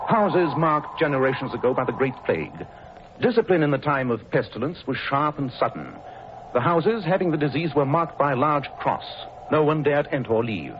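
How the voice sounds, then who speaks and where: An actor playing a calm, learned detective who talks in a measured, lecturing way with dry amusement, in a 1940s radio play. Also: male voice, a little high, lightly acted. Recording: dull old radio recording with hiss.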